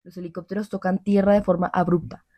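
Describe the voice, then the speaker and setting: A woman's voice: lowish voice, level tone, quick pace, noisy background, close to an everyday voice. A woman playing a grave war correspondent giving scripted, descriptive field reports in an audio drama. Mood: grave, urgent.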